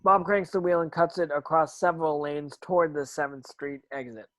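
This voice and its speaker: A man's voice: a little high, steady tone, brisk, a bit bright, dry recording. A man narrating screen action from a script as a stage directions reader, now and then stumbling over words.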